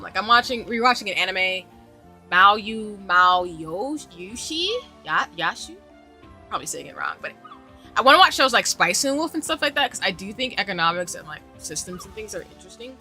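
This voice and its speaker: Chatty young woman with a bright voice. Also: lowish voice. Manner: rambling and chatty, many asides and fillers. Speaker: game streamer. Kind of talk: casual chat with stream viewers while gaming.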